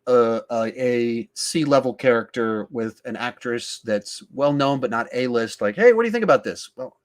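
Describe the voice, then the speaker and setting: A man's voice: mid-range voice, expressive, normal speed. A man hosting a podcast, casually discussing films and reading out viewer questions in an emphatic, conversational way, thinking aloud as he goes. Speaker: podcast host.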